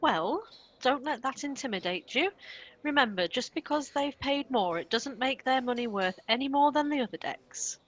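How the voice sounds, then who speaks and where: A woman playing a sharp-tongued, bossy but protective chambermaid in a comic radio play. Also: high-pitched, animated, moderate pace, highs cut off. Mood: wry, bossy.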